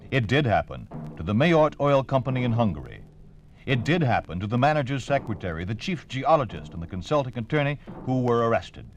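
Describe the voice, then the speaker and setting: A man's voice: medium pitch, dull audio. Male announcer, American accent, formal and emphatic vintage radio narration, widely varied intonation. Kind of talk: 1950s scripted radio narration.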